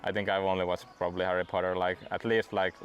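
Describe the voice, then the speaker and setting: A young man whose first language is Swedish, chatting in a relaxed interview and padding his sentences with "like". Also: sits low, faint music behind. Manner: relaxed, fills every pause with "like". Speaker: guest disc golf player. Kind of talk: casual interview chat.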